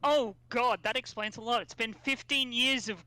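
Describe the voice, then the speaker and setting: A woman's voice: on the high side, moderate pace, sharp tone, no room sound. A thirty-year-old woman co-hosting a casual sports podcast, blunt and stammering into her points. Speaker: podcast co-host.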